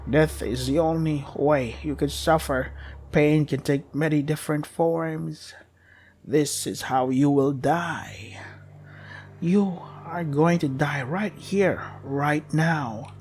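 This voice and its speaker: A man voicing a slow, solemn, threatening inhuman monster in a horror story. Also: on the high side, a little mellow, a little hiss or hum. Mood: menacing.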